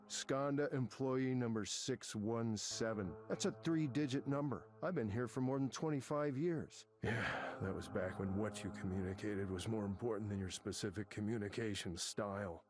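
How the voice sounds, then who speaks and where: An actor as a bitter, arrogant tech veteran, music behind. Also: male voice, mid-range voice, close to an everyday voice. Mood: indignant. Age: middle-aged. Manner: combative, profane, sarcastic. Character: angry veteran male tech manager, arrogant, bitter, ranting. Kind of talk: visual novel game voice acting. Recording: game audio with music behind.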